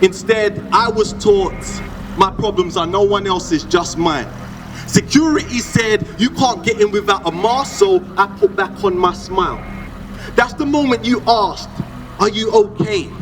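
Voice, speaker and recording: A man performing spoken word poetry in a rhythmic, expressive, conversational delivery. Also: high voice, measured pace, background noise. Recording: echoey, distant, loud music behind.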